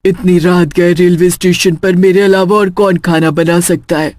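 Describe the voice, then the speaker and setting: A woman's voice: on the low side, fairly even intonation, moderate pace, a little mellow, no room sound, close to an everyday voice. A woman voicing a lonely female witch who runs a small food stall, coaxing yet menacing, in a cartoon horror story.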